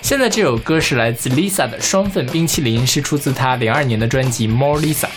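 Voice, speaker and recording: A man's voice: mid-range voice, lively intonation, a little fast. A man co-hosting a music podcast, chatting casually about songs. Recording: music playing behind the talk. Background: music with guitar.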